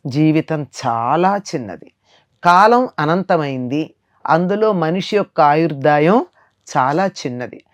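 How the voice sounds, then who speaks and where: A woman's voice: low voice, animated, measured pace. An older woman giving a moral discourse in conversation as a spiritual teacher, emphatic and repeating phrases to drive points home.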